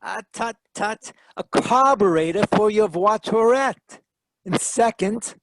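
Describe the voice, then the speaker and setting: A man playing a wry, breezy, smooth-talking private detective in 1940s radio style, with theatrical flowery phrasing. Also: high-pitched, takes it slowly, no room sound. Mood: playful.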